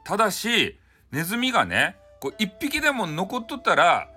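Stingy storybook mayor, voiced by a male storyteller: suspicious, quibbling, Hakata accent, highish animated delivery. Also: moderate pace, slightly rough, soft background music, full character voice. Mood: stern bargaining. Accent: Hakata Japanese. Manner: grudging, quibbling.

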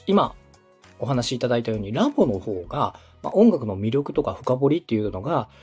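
A man with a mellow, slightly husky voice explains things in an orderly, polite coaching manner. His pitch moves animatedly as he summarizes each point, with faint music behind him. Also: big pitch swings, fairly quick. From Osaka, Japan. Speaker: podcast host, radio director coaching a podcaster. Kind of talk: structured, explanatory coaching talk.

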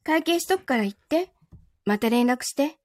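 A woman acts out an understanding, quietly lonely girlfriend in a radio drama. Her fairly high voice stays composed and gentle, with a Kansai accent, recorded close and dry. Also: expressive, normal speed, no room sound. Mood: understanding, reassuring. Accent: Kansai Japanese. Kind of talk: Japanese voice drama acting with inner monologue.

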